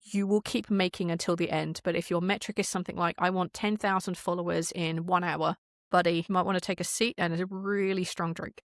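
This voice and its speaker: A woman's voice: lowish voice, little pitch movement, fairly quick, no room sound, clean studio sound. A woman chatting casually as a podcast guest, illustrating her points with acted-out quotes and thoughts. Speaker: podcast guest, audio drama creator. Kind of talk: casual interview chat.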